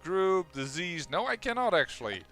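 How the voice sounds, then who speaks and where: A young man with a Dutch accent, casually commentating his own strategy game playthrough, excitable and quick, often restarting his sentences. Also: high voice, soft background music. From Netherlands. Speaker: let's play host.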